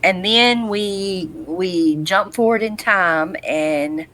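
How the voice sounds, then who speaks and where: A woman's voice: medium pitch, slow pace, a bit bright. A woman co-hosting a podcast, recapping a TV episode in an informal, conversational storytelling way. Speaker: podcast co-host.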